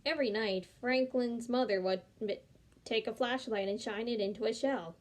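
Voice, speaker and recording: Young woman storyteller, gentle, expressive, fairly high voice. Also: normal speed. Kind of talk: reading a picture book aloud.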